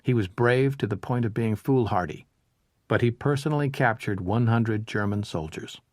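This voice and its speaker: A man reading a history audiobook as narrator, in a measured, even storytelling manner. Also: on the low side, no room sound, clean studio sound. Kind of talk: scripted narration of a history book.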